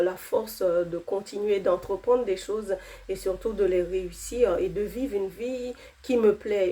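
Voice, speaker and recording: A woman's voice: mid-range voice, darkish tone. A woman in her thirties, not a native French speaker, giving an earnest personal faith testimony, with fillers and restarts.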